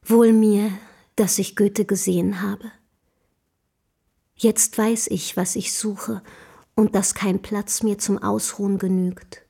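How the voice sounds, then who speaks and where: A passionate young woman of letters in a radio drama. She is bold and provoking, speaking at a medium pitch with pointed, literary phrasing and theatrical fervour. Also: flat delivery, takes it slowly, gravelly, dark tone, slightly rough, heavily acted. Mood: rapturous, yearning. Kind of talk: German radio play acting.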